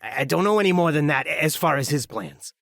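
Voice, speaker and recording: A man's voice: on the high side, lively intonation, fairly quick, a bit bright, no echo, studio quality. A man voicing an injured skinhead gang thug, crude and grudging, with a strained, gasping delivery in an audiobook. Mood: grudging informing. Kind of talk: audiobook character acting.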